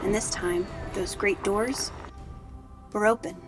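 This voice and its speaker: A woman's voice: medium pitch, fairly even intonation, slow, over music, natural voice. A woman playing an earnest young army sergeant, giving dramatic first-person narration of a battle in an audio drama. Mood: earnest, grave. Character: earnest young human female artillery sergeant recalling battle.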